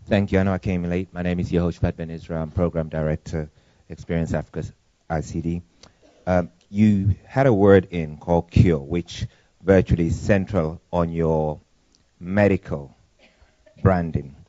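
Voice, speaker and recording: A man with a very low voice asks the speaker a formal question from the audience, earnest and deliberate. The sound is dry and dull. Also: fairly even intonation, warm tone, dry recording. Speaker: audience member asking a question. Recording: dull lo-fi conference recording.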